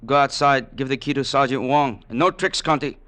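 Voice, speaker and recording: An actor playing a proud, ruthless Chinese warlord general in an old radio drama, speaking in terse, formal, commanding phrases. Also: male voice, medium pitch, normal speed, bright, forceful tone, close to an everyday voice. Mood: curt, commanding. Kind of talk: 1940s-50s radio drama acting.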